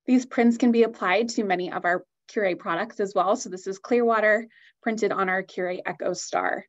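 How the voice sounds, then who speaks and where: A woman's voice: mid-range voice, steady tone, normal speed, highs cut off. A woman giving an upbeat, enthusiastic product presentation on a video call.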